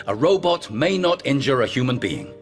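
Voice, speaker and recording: An embittered, confrontational man played by an actor in a radio play, his pitch swinging dramatically from low to high. He is intense and sarcastic, and music plays under his words. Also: very animated, moderate pace, close to an everyday voice. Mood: outraged. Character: bitter, accusing man betrayed by a robot. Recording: music behind.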